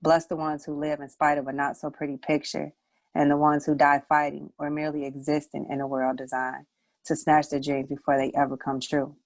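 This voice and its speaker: A woman's voice: low voice, no room sound, poor audio. A woman reciting her own poetry as a spoken word piece. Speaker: poet, showcase participant.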